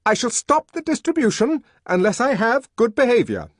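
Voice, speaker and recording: A man's voice: high voice, lively intonation, normal speed, darkish tone, dry recording, studio quality, voice pushed up. A man voicing a fussy, peremptory young official. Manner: peremptory, then coaxing.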